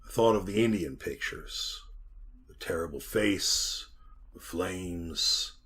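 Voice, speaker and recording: An actor playing a frightened, trapped miner in a 1940s-style radio horror drama, husky and plain-spoken in a folksy way. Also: male voice, low voice, takes it slowly, a bit bright, heavily acted. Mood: hushed dread. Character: trapped, frightened miner telling his haunted tale.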